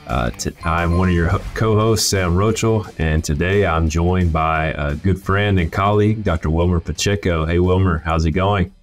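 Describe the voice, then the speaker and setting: Male podcast co-host, American accent, very low register, casual conversational interviewing from an office. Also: moderate pace, few pauses, soft background music. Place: office, video call.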